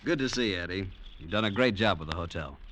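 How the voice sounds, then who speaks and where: An actor playing a charming, easygoing investor on vacation, hearty and smooth-talking in a vintage radio drama. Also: male voice, sits low, expressive, fast, a bit bright. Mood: genial. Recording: old radio recording with faint noise.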